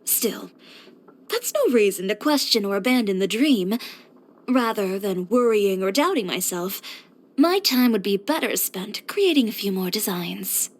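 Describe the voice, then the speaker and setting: A woman's voice: mid-range voice, expressive, measured pace. A young woman voicing a confident, blunt fashion designer and boutique owner in a scripted video game, with a poised, direct delivery. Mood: thoughtful, determined. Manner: self-assured, direct, poised with dry sighs.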